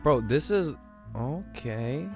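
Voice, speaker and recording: A man's voice: mid-range voice, lively intonation, takes it slowly, faint music behind. A man streaming a game, commenting casually with swearing, repeated words and blurted exclamations. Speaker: game livestreamer reacting to story.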